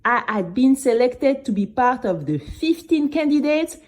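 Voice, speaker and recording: A woman playing a nervous, chatty young girl who tells her story in a conversational, expressive way. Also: high-pitched, unhurried. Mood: confiding. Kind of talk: first-person scripted audio drama narration.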